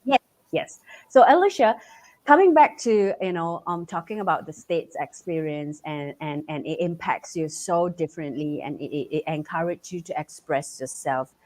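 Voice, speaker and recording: A woman's voice: low-pitched, moderate pace, darkish tone. A woman from Malaysia, a native Cantonese speaker, speaking English with a Malaysian accent as the earnest, encouraging host of a live online talk show, heard over a video call.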